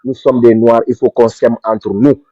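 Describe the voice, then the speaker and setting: A man's voice: medium pitch, flat delivery, normal speed, warm tone. A man from Senegal, giving an impassioned political speech with fervent, repetitive emphasis. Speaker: pan-African activist speaker. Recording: dull dry lo-fi recording.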